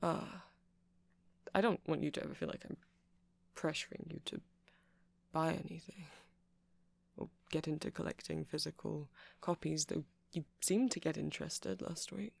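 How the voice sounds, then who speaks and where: A man playing a nerdy, gentle vampire shop clerk in an ASMR roleplay, rambling in a hesitant, softly polite, apologetic way. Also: a little high, steady tone, normal speed, vocal fry, no echo. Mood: earnest rambling.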